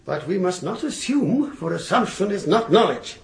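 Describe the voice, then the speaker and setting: A high-pitched male barrister in a courtroom radio play. He is persuasive and rhetorical, his pitch sweeping up and down. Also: sing-song, moderate pace, vocal fry, full character voice. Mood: probing, rhetorical. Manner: rhetorical, courteous but pointed. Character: eloquent, theatrical male defence barrister, shrewd and persuasive. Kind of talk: period courtroom radio drama acting.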